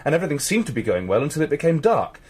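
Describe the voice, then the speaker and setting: A man's voice: fairly high pitch, sing-song, very fast, breathy edge. An actor playing an eager young police constable in a radio drama, earnest, formal and deferential as he reports. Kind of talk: naturalistic radio drama acting.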